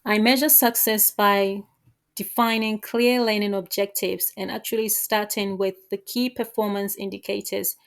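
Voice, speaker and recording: A woman answering as a job candidate, with formal, measured delivery of rehearsed interview answers. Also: mid-range voice, steady tone.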